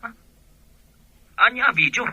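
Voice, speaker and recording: A man with an Ilocano accent playing a nosy, incredulous friend through a telephone filter, with a sharp, husky voice. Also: moderate pace, no room sound, dull audio.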